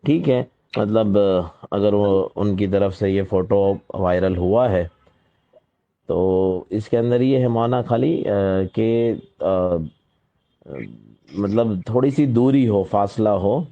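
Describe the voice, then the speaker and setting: A man on a phone call, politely and hesitantly giving gentle advice, with many pauses. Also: lowish voice. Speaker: caller. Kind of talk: phone conversation. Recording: muffled lo-fi phone call recording.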